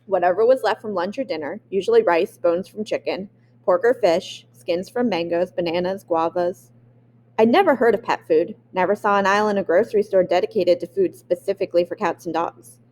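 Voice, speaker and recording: A woman's voice: on the low side, fairly quick, darkish tone, a little hiss or hum. A woman reading a memoir aloud. She reads expressively and sometimes stops to restart a word. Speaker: audiobook reader.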